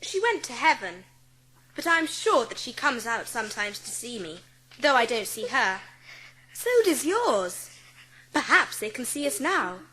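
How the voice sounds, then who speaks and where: A woman's voice: a little high, sing-song, a little slow, bright, forceful tone, slight background noise, full character voice, rough recording. A woman playing a kind, polite, earnest young schoolgirl in a dramatised children's audiobook. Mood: tender, dreamy. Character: kind, composed, imaginative seven-year-old schoolgirl.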